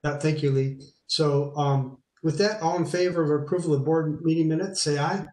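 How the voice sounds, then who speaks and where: A man in his fifties chairing a board meeting on a video call, reading names slowly and calmly. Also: a little high. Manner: measured, reads names and dates slowly. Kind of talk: formal meeting procedure and memorial reading. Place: small home room. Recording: roomy video call audio.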